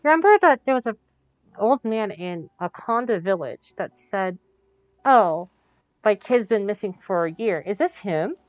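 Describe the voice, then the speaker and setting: A woman's voice: mid-range voice, expressive, a little slow, dry recording. A woman game streamer giving casual live commentary while she plays. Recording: dull lo-fi stream audio.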